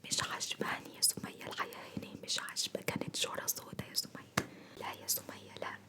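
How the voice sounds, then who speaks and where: A woman voicing a chatty, homesick Upper Egyptian beautician in a breathy whisper, with a Sa'idi accent and effusive, repetitive grumbles and endearments, as an ASMR roleplay. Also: fast talker, natural voice. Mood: aggrieved grumbling. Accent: Upper Egyptian (Sa'idi) Arabic.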